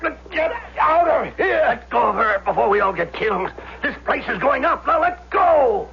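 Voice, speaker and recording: A man's voice: very high voice, big pitch swings, normal speed, vocal fry, soft background music, full character voice. An actor playing an earnest, plain-spoken male rescuer in a 1970s radio drama. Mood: urgent. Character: steady, apologetic male rescuer investigating a fire. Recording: very noisy, muffled old radio recording.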